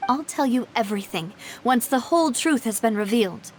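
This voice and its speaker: A woman's voice: fairly high pitch, expressive, fairly quick, slight background noise, natural voice. An actress playing a determined, kind young female boss, composed and articulate, in a video game cutscene. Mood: composed, serious.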